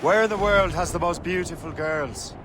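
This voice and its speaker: An actor playing a brash, sardonic young assassin with a wry, questioning manner in a video game. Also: male voice, high voice, moderate pace, background noise, lightly acted. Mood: curious. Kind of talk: dramatic video game acting.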